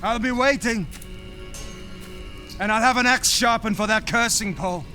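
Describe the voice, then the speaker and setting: An actor playing a proud, stern young Viking warrior leader in a video game cutscene. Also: male voice, high-pitched, normal speed, pushed and bright, soft background music. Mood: guarded, wry. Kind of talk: cinematic game dialogue.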